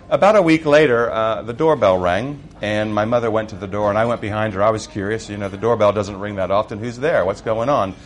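A middle-aged man with an American accent, a missionary giving a personal testimony in a relaxed storytelling way, with frequent fillers. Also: on the low side, fairly quick. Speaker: missionary speaker.

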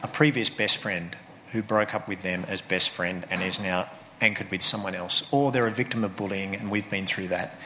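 A man's voice: low-pitched, steady tone, normal speed, bright, forceful tone, a little hiss or hum. A man giving an earnest, sermon-like talk about faith and family.

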